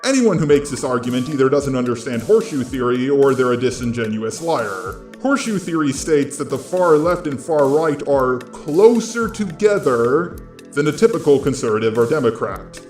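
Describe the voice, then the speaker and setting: A man reads scripted opinion commentary in a nasally voice with a middling pitch. The sound is roomy, picked up on a gaming headset, with music playing underneath. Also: expressive, moderate pace, a little mellow. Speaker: video commentator.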